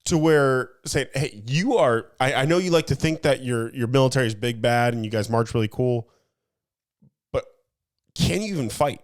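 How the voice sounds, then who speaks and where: A man's voice: medium pitch, normal speed. Casual male podcast co-host. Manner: talks in analogies, asks leading questions, frequent restarts. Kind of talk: casual chat.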